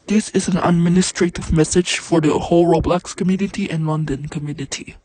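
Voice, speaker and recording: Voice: mid-range voice, fairly even intonation, a little fast, mellow, breathy edge. An announcer delivering scripted warnings for a mock emergency alert broadcast.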